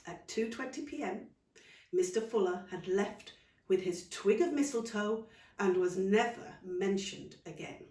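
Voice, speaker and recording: A woman narrating a children's story expressively, in measured phrases with dramatic pauses. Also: mid-range voice, darkish tone. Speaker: author reading her own story. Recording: roomy sound, distant microphone.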